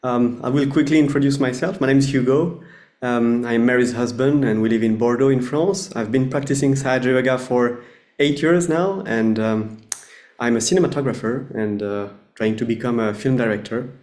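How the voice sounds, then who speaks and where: A man presenting a meditation lesson over a video call, explaining in quick, friendly terms with some fillers. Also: medium pitch, a little mellow, echoey room.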